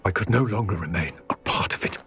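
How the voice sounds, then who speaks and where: Solemn, weary goblin. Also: male voice, low-pitched, vocal fry, bright, forceful tone, faint music behind, full character voice. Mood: regretful. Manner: measured, formal, solemn storytelling. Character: grave, weary male goblin, regretful and earnest. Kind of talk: video game cutscene acting.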